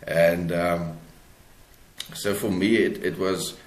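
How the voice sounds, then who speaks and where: A man with a South African accent giving reflective, halting answers as a guest in a conversational interview. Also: deep voice, little pitch movement. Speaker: author, podcast guest. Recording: echoey room sound.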